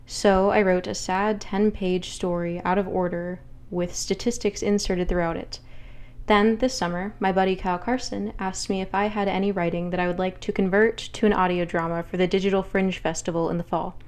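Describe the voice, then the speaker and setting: A woman's voice: mid-range voice, fairly even intonation, moderate pace, a little mellow. A young woman speaks as a writer presenting her own work. Her delivery is conversational and personal, and her voice sits in a medium female register. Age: young adult. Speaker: writer of an audio drama.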